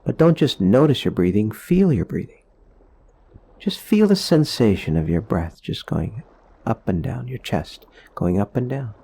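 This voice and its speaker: A man guides a meditation with a husky, somewhat low voice. He speaks very slowly and gently, pausing between phrases as he explains things in a soothing manner. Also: very animated. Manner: gentle, unhurried, explanatory with pauses.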